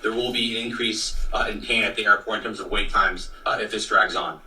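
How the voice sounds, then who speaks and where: Man, very bright forceful voice, flat pitch, halting official spokesman, echoey distant recording. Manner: halting, repetitive, careful phrasing. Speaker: official spokesman being interviewed. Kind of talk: measured official interview answers. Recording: echoey, distant, faintly noisy interview clip.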